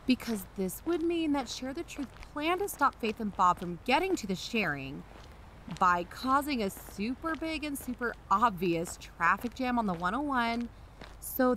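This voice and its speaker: A woman playing an excitable amateur investigator who hosts a podcast, in a scripted audio drama. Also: a little high, unhurried, slight background noise, heavily acted, rough recording. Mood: animated, scornful. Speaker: podcast host.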